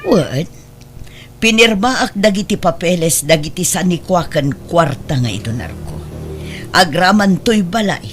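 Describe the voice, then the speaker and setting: Stern, expressive matriarch acted by a woman, noisy recording. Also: on the low side, unhurried. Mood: stern, reproachful. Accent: Northern Philippine. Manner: firm, reproachful. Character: stern, wealthy ailing adoptive mother putting her affairs in order. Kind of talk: emotional audio drama acting. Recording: clear background noise.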